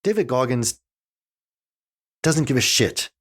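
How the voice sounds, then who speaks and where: A man's voice: medium pitch, expressive, slow pace. A man in his forties from Canada, a podcast guest talking in a casual, emphatic way, full of restarts and "you know". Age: 40s. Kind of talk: casual conversation. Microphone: desk mic he keeps knocking.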